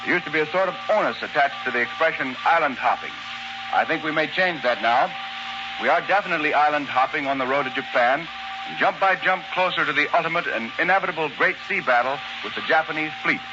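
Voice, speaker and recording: American male war correspondent, 1940s radio: fairly high voice, formal measured commentary, dull old broadcast with music clearly behind.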